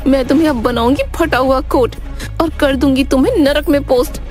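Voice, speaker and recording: A fierce, sharp-tongued village wife from a cartoon, played by a woman, scolds and threatens in a high, combative voice. Music plays clearly underneath her. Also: fairly quick, close to an everyday voice. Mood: defiant. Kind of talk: cartoon voice acting.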